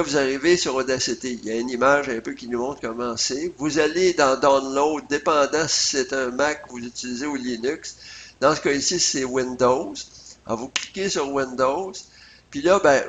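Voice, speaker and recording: A man's voice: mid-range voice, a bit bright. Quebec guy doing a screen tutorial, kinda hesitant, step by step, bit of background noise. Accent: Quebec French. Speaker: software tutorial presenter. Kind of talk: casual screencast tutorial narration. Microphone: USB computer microphone.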